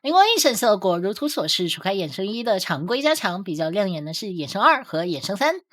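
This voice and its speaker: A woman's voice: medium pitch, sing-song, a little fast, fairly bright tone. A woman reading scripted commentary for a game guide video as its host.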